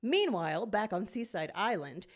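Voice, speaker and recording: A woman reads scripted fiction aloud as narrator. Her pitch is mid-range and moves widely, giving the storytelling an expressive, animated feel. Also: moderate pace.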